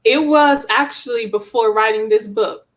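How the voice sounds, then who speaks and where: A woman's voice: on the high side, moderate pace. A woman author being interviewed, talking in an earnest, motivational way about faith and circling back over key words for emphasis. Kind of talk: conversational, motivational faith talk.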